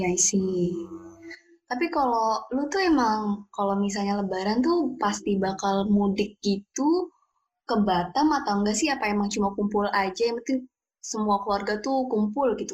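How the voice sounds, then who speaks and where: A young woman hosts a relaxed conversation. She asks her guests questions in a playful, teasing way. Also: mid-range voice, normal speed, muffled recording. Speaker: host. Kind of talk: casual chat.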